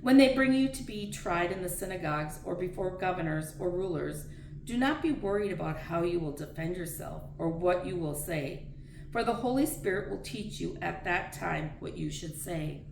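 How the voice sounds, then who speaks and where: A woman with a slightly low, gravelly voice reads scripture aloud, plainly and earnestly. She sounds some distance from the microphone, in a room that echoes around her words. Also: expressive, moderate pace. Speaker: reader of daily scripture readings. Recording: roomy echo, distant mic, faint noise.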